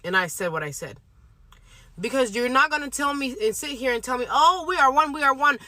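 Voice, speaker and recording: A woman's voice: high voice, animated, fairly quick, pushed and bright, no echo. A woman of Somali Bantu background speaking in an indignant, heated monologue to camera. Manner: indignant, repeats mocking quotes. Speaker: social media commenter.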